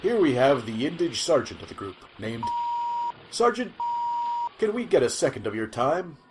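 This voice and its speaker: A man's voice: mid-range voice, big pitch swings, moderate pace, heavy background noise, lightly acted. A man playing an earnest futuristic news reporter in a scripted sci-fi audio drama. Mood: earnest, curious.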